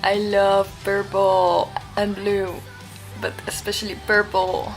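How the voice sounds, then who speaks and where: Slow-speaking young Dutch-accented woman. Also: mid-range voice, little pitch movement, soft background music, poor audio. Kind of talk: older vlog clip, introducing herself.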